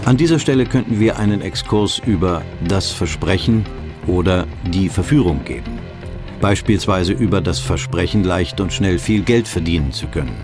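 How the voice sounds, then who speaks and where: A wry documentary narrator for a radio play. His low voice moves expressively through measured explanations, recorded dry and close over faint music. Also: animated. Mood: dry, explanatory. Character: wry male narrator of a financial satire. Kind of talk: ironic documentary-style radio drama narration.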